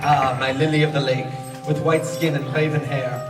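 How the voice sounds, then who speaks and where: A man's voice: mid-range voice, level tone, moderate pace, close to an everyday voice. A man playing an adoring, adventurous fur trader in an amateur stage radio play, speaking in a flowery, declamatory way. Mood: adoring, hopeful. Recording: echoey stage, distant mic, music behind.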